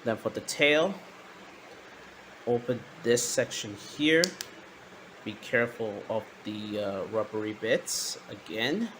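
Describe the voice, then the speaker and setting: A man narrating a hands-on toy review in a halting way, often repeating his words. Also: lowish voice, fairly bright tone, a little hiss or hum, poor audio.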